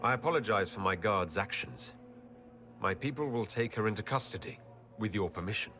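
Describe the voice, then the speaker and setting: A man's voice: on the low side, animated, brisk, pushed and bright, slight background noise. An actor playing a serious, direct, diplomatic male elf leader in a video game. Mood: serious, inquiring.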